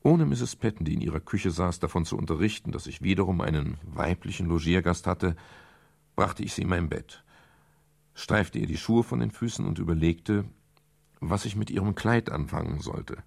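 A man's voice: low voice, normal speed, vocal fry, a little mellow, husky, no echo, exaggerated voice. An actor playing a sardonic private detective who narrates his case in a dry, laconic, brusque way in a German radio crime drama. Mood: laconic, brooding.

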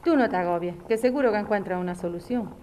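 A woman's voice: medium pitch, fast talker, darkish tone, a little hiss or hum, close to an everyday voice. A woman acting an older, practical, affectionate grandmother from Spain in a podcast drama, speaking frankly and coaxingly. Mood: reassuring. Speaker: actor in an audio drama.

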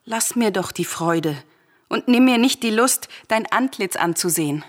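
An actress playing a grieving, defiant princess and devoted sister in a solemn, declamatory radio tragedy. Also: female voice, medium pitch, lively intonation, a little slow, natural voice. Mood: rapturous. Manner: declamatory, intensely emotional. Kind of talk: solemn radio drama acting of verse tragedy. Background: music.

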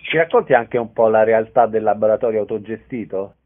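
A man's voice: lowish voice, brisk, narrow, tinny audio. A man working as a radio interviewer, putting questions to a guest.

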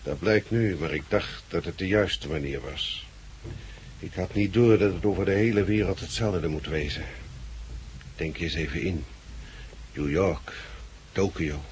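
An actor playing a weary, frank, remorseful former group leader in a 1960s Dutch radio drama, speaking plainly. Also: male voice, deep voice, a little slow. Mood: weary, rueful. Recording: noisy vintage 1969 radio recording.

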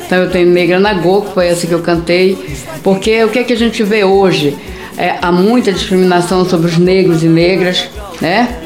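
A woman's voice: fairly low pitch, steady tone, normal speed, a little mellow. Middle-aged Pará woman in a roomy recording. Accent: northern Brazilian, Pará. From Brazil, quilombola community near Santarém in Pará. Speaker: singer-songwriter, interview guest. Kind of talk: spontaneous personal interview talk. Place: audio studio, for a radio programme. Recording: roomy studio sound, music behind.

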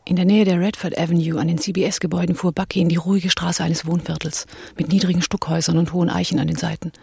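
An actress playing an observant first-person narrator in a radio crime drama, with a husky, creaky voice. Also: female voice, fairly low pitch, flat delivery, fast, mellow, exaggerated voice. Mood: observing.

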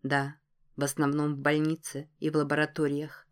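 A woman voicing a quiet, wistful teenage boy in an audiobook, speaking softly and hesitantly as he confides. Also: on the high side, monotone, normal speed, clear, resonant tone, lightly acted. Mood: wistful, confiding. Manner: soft-spoken, hesitant. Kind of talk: audiobook character dialogue.

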